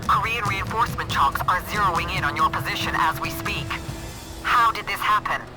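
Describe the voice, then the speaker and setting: An actor playing a stern, authoritative military commander giving clipped orders in a video game. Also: male voice, high-pitched, moderate pace, lightly acted. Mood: stern, urgent. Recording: game audio with loud music behind.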